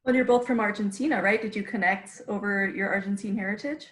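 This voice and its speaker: A woman's voice: medium pitch, fairly quick, roomy sound, a little hiss or hum. A woman hosting an interview, asking questions in a friendly, easy way. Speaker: interview host. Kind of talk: conversational interviewing.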